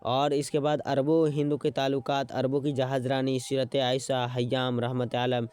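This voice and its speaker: A man teaching an exam-prep lesson, repeating words slowly as he writes them out and checking in with his students as he goes. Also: mid-range voice, flat delivery, a little mellow, clear, resonant tone. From Bihar.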